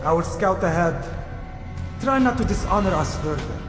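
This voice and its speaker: A man's voice: sits high, lively intonation, normal speed. An actor playing an earnest, reproachful male assassin in a video game, scolding with urgency. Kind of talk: video game cutscene acting. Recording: echoey hall, music behind.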